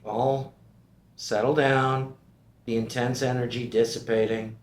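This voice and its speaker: A male narrator reads scripted stage directions. His voice sits at a medium pitch and has the roomy echo of a table-read recording. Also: level tone, measured pace.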